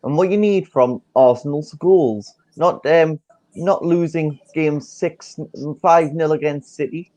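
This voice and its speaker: A man's voice: on the high side, takes it slowly, darkish tone, no room sound. A man in a casual football chat, blunt and insistent, repeating his points.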